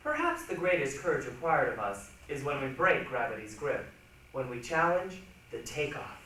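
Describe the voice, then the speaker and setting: Animated man declaiming in an echoey hall. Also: medium pitch, normal speed. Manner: theatrical, emphatic, swooping delivery. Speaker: reader's theatre performer. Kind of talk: dramatic ensemble stage reading. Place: large echoey room, recorded from a distance. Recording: echoey hall, distant mic, faint noise.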